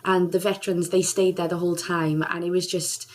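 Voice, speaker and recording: A young woman from South Wales talks as a radio guest, her voice sitting a little low. She tells her story earnestly and from the heart, often restarting her sentences as the memories come back. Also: flat delivery, brisk, darkish tone. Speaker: singer and charity ambassador, guest. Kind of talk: radio interview, personal storytelling.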